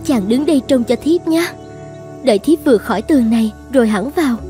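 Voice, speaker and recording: A woman acting an anxious, gentle folktale maiden. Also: fairly high pitch, expressive, fairly quick, soft background music, natural voice. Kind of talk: dramatic cartoon voice acting.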